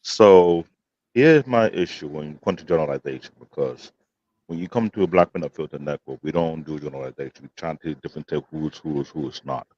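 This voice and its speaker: A man's voice: a little fast, a little mellow. A man, speaking earnestly and formally on a live panel, repeating himself for emphasis. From Nigeria. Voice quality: deep voice. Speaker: panel guest. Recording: dull muffled voice-chat audio.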